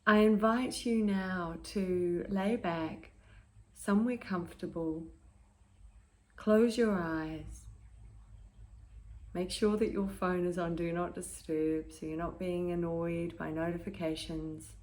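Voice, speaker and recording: A woman leading a guided meditation in a calm, gentle, soothing manner, pausing between short phrases and letting their endings turn soft and breathy. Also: lowish voice.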